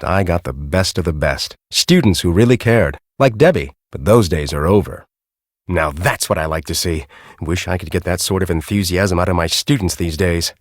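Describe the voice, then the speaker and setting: An actor playing a grumpy, bossy middle-aged storm-chasing professor in a video game, with an American accent and a brusque, sarcastic, exasperated manner. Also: male voice, deep voice, no room sound, clean studio sound. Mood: bitter, disappointed. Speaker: video game character, research team leader.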